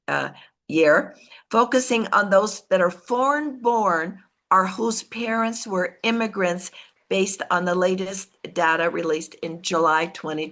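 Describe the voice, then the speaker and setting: A woman's voice: mid-range voice, creaky, a bit bright. An American woman giving an informal business talk as a team leader, conversational and often correcting herself as she goes. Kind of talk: informal business motivational talk, partly reading from notes.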